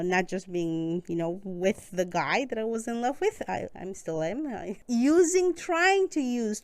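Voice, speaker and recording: Woman, smooth clear voice, dry close sound, earnest, halting. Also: medium pitch, darkish tone, dry recording. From Costa Rica. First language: Spanish. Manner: earnest, with frequent hesitations. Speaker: guest, newcomer support worker. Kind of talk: casual podcast conversation.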